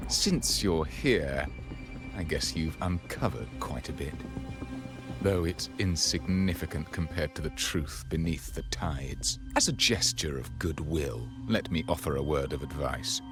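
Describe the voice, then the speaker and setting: An actor playing a fanatical, gloating cult villain in a video game, speaking in a solemn, grandiloquent, theatrical way. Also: male voice, very deep voice, animated, unhurried, over music. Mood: menacing calm.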